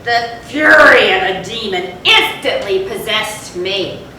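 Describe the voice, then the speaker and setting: A woman playing a tormented, confessing animal lover turned cruel drunkard, in a deliberate, formal, theatrical reading of a gothic horror story. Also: medium pitch, animated, crowd noise. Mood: horrified, intense. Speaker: readers theatre performer. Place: large echoey room, far from the mic. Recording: very echoey, distant, noisy live hall recording.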